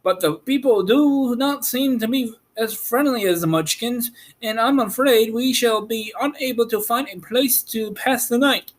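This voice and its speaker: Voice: mid-range voice, unhurried, voice pushed up, lightly acted. A man voicing a friendly, curious male talking scarecrow in a storybook reading. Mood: thoughtful, wary.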